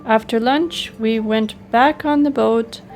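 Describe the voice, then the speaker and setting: A woman's voice: on the high side, takes it slowly, darkish tone, soft background music. A woman narrating her personal travel vlog in an enthusiastic, appreciative way. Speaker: travel vlogger.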